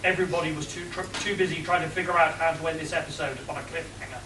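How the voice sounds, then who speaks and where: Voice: medium pitch, fairly even intonation, racing, close to an everyday voice. A performer playing the melodramatic narrator of a comic radio serial. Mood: dramatic. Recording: echoey room, distant mic, noisy.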